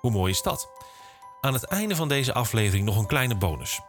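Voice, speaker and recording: A man's voice: mid-range voice, sing-song, moderate pace, faint music behind. A man hosting a podcast, reading a scripted introduction. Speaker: podcast host.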